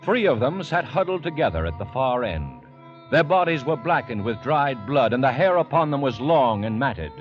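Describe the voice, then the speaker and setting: A man narrating an adventure story as a grave, measured, ominous storyteller in an old radio drama. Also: mid-range voice, sing-song, natural voice. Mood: grave, dramatic. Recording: dull vintage radio recording. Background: orchestral music with brass.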